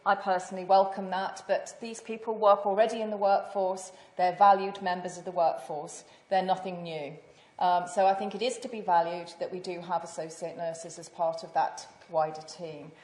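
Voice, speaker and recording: A woman in her sixties, a senior British nurse, answering on a panel in a loud, measured voice with frequent fillers and restarts. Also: lowish voice, little pitch movement. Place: lecture hall. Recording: echoey hall.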